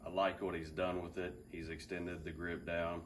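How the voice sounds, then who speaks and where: A man in his thirties giving a relaxed, enthusiastic fishing gear review, thinking aloud with fillers in a big open garage. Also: low voice, normal speed. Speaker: fishing channel host. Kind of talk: casual product review. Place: large open garage with a boat. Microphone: camera several feet away. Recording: distant mic, faint ambient hiss.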